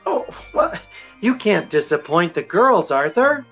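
A man's voice: high voice, big pitch swings, a little slow, fairly bright tone, heavily acted. A middle-aged man playing a genial, unflappable detective in old-time radio mystery style, with hesitant, wry interjections. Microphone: video call. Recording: dull lo-fi sound, music behind.

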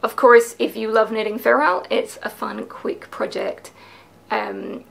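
A woman in her thirties talks through her knitting patterns at a table in a small home studio. Her voice sits slightly high yet drops into heavy, gravelly fry. She explains each technique step by step with an eager, chatty manner. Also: fairly even intonation, a little slow. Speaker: knitwear designer presenting her patterns. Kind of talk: casual explanatory video talk.

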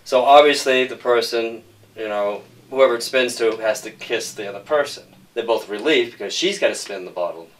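A man's voice: mid-range voice, moderate pace. A middle-aged man explaining a film plot in conversation as its screenwriter. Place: indoors on a sofa, group interview. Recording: distant mic with background noise.

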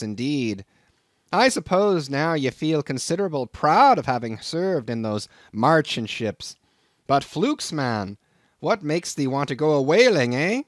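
Man putting on a gruff old whaling captain, blustering, raised pitch, loud and lively, dry sound. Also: no echo. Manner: blustering, archaic thee-and-thou speech. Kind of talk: audiobook character voice.

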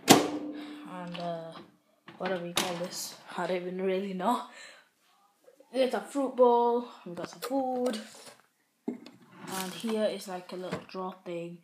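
An English-accented woman strolls through her house, describing rooms in an unhurried, rambling way full of "like" and small doubts. She is recorded on a handheld phone indoors, with audible hiss and clicks from handling the device. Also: fairly low pitch. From England. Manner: chatty, unsure, lots of "like" fillers. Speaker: vlogger giving a house tour. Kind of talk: casual home tour narration. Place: home kitchen and living room, indoors. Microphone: handheld phone camera while walking.

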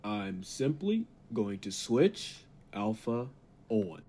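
A man playing an earnest laboratory assistant in a 1940s-style radio drama, formal and measured. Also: on the low side, very animated, very noisy. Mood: calm, reassuring. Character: earnest, calm male laboratory assistant scientist.

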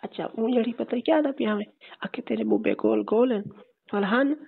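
Female caller, Saraiki accent, fairly high gravelly voice, casual intimate talk on a tinny phone line. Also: animated, brisk. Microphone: telephone line. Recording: tinny phone call recording.

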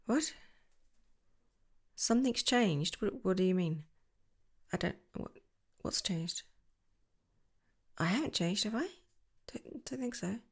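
A young woman doing a girlfriend roleplay to the listener, soft and affectionate, giggling and slipping into whispers. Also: medium pitch, animated, slow pace, long pauses, breathy edge. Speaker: ASMR roleplay creator.

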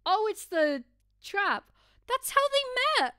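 A woman's voice: very high voice, sing-song, takes it slowly, pushed and bright, no echo, studio quality. A woman streaming a casual let's play, rambling and throwing in reactive asides as game music plays behind her. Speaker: streamer reading all characters.

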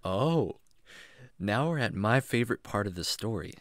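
A man's voice: fairly low pitch, normal speed, dry recording, clean studio sound. A man playing a kindly, enthusiastic jungle man who teaches patiently and expressively in a children's radio drama. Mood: excited, tender. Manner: expressive, reassuring, explanatory.

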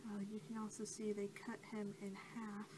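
A young woman doing soft-spoken ASMR roleplay as a scientist, talking in a casual, rambling, hesitant way. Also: medium pitch, completely flat delivery, moderate pace. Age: young adult. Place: small cluttered room indoors. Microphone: camera mic at about arm's length. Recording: clearly noisy amateur camera audio.

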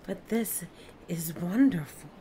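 A woman's voice: lowish voice, slow, warm tone, a little hiss or hum. A woman playing an earnest, curious, newly adult alien in an audio play, speaking in formal, precise, stilted sentences. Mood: awed, eager.

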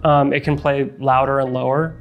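A man's voice: mid-range voice, level tone, normal speed, lo-fi sound. Man explaining products over background music. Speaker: loudspeaker company representative, interview guest. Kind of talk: technical product explanation in conversation.

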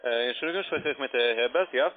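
A man's voice: mid-range voice, fast talker. Pushy man in broken German on phone. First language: English. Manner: broken German with faulty word order, pushy. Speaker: phone scammer posing as tech support. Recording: tinny phone line.

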